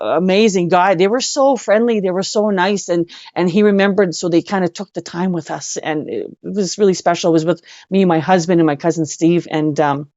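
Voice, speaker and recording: Female rock-singer guest, low-pitched, effusive and emphatic storyteller, captured in a roomy space with dull audio. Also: fairly quick, few pauses, darkish tone. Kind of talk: casual anecdote storytelling in an interview.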